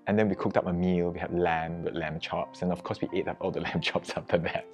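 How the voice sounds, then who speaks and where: Deep-voiced friar, halting interview talk. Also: male voice, little pitch movement, soft background music. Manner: hesitant, many fillers and restarts. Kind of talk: documentary interview.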